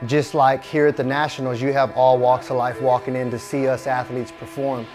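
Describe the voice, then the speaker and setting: A man who owns a gym, talking casually and rough-edged about hardcore training in a documentary interview. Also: medium pitch, level tone, normal speed. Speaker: gym owner. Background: music.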